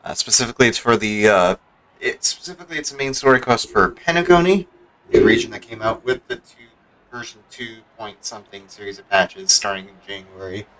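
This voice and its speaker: A male streamer giving relaxed, rambling commentary as he plays a video game. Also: on the low side, a little fast, low-quality recording. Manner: relaxed, rambling, many fillers. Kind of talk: casual let's-play commentary.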